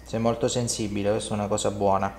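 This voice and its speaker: A middle-aged man working as a chiropractor, talking calmly to a client in short, reassuring instructions and questions. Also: on the low side, quick pace. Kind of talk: calm talk to a client during treatment. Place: indoor home-like treatment studio. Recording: roomy echo, background noise.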